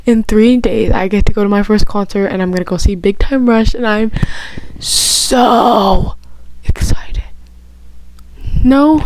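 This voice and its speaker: Woman vlogger, casual chat, excitable and rambling, mid pitch. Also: a little hiss or hum, low-quality recording. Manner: gushing and excitable, rambling with many restarts; chatty, heavy use of "like". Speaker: vlogger answering personal questions.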